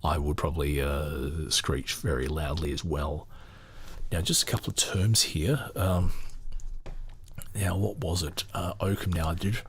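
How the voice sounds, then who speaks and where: A man's voice: very deep voice, steady tone, unhurried, slight background noise. A man hosting a book-reading podcast, moving between reading aloud and informal, thinking-aloud commentary. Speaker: podcast host and book reader.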